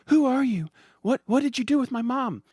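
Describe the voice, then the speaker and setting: A man's voice: very high-pitched, expressive, moderate pace, a little mellow, breathy edge, dry recording, clean studio sound, voice pushed up, full character voice. A man voicing a curious, worried daughter in a comic story, earnest and full of questions. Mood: alarmed. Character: curious, worried daughter at home with her mother.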